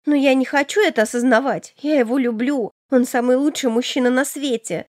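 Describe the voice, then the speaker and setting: A woman voicing a high, plaintive naive young sister. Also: a little fast, a little mellow, dry recording, clean studio sound, close to an everyday voice. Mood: tearful, pleading. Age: young adult. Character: naive tearful younger sister, twenty, sweet and lovestruck. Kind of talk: audiobook character dialogue.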